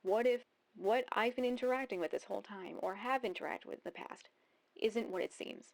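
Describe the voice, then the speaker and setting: A woman's voice: on the high side, a little fast, creaky. A woman in her thirties giving casual video essay commentary, rambling and thinking aloud as she repeats phrases. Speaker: YouTube host.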